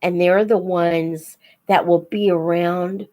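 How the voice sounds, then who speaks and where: An older woman chatting casually as a live host for young viewers, in an unhurried, reflective, folksy manner. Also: on the low side, steady tone, warm tone. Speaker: host of a children's book read-aloud channel.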